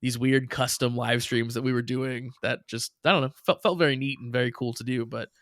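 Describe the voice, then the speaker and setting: Young man, podcast co-host, casual rambling chat, mid-pitched, dry close recording. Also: fairly even intonation, fairly quick, fairly bright tone, no room sound. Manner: rambling, many restarts and "like" fillers, laughs often.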